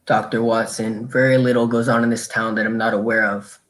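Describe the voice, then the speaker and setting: An actor playing a proud, suave police inspector in a radio drama, formal and condescending. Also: male voice, on the low side, little pitch movement, a little fast, a bit bright, natural voice. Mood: smooth, knowing.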